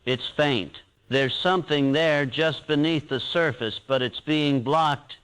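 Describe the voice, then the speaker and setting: A man's voice: a little high, slow, fairly bright tone, clean tone, rough recording. A man voicing a determined female psychic field agent in a horror story, terse and thinking aloud. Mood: focused, probing. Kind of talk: acted horror story dialogue.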